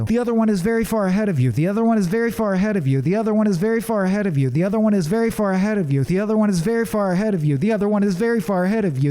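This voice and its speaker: A man's voice: high-pitched, dark tone. A man voicing a talking rock from an audiobook, repeating itself in an insistent chant. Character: talking rock endlessly repeating one warning.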